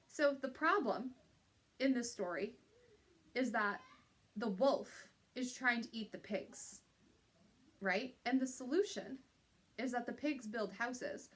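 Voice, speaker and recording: Higher-pitched woman teaching young pupils. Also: a bit bright. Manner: explanatory, checks understanding with tag questions. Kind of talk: instructional lesson for young pupils.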